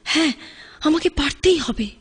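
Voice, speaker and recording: A woman's voice: high-pitched, expressive, moderate pace. A woman acting a devoted young carer in an audio drama, speaking in a tender, coaxing and impassioned manner. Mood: grave, resolute. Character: devoted young woman caring for her addicted beloved.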